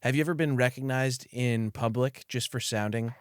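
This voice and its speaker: A man's voice: medium pitch, normal speed, clean tone, dry recording. A young man co-hosts a podcast in a friendly, playful, laid-back way, with a mid-range voice. The sound is close and dry. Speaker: podcast co-host. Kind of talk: casual podcast interviewing.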